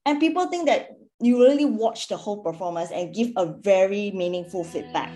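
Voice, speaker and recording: A woman's voice: mid-range voice, a little fast, soft background music. A middle-aged woman from Singapore, a school board chairperson answering interview questions earnestly. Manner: earnest, animated, acts out quoted remarks.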